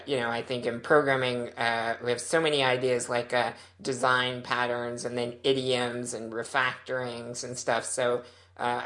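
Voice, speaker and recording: A man's voice: mid-range voice, pushed and bright. Man, podcast panelist, hesitant questions, casual chat.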